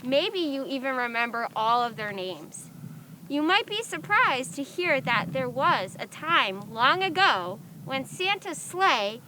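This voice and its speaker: High, bright-voiced woman hosting storytime. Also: takes it slowly, poor audio. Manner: expressive, addressing children directly. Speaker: storytime host. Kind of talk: presenting and reading aloud for children. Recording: background noise.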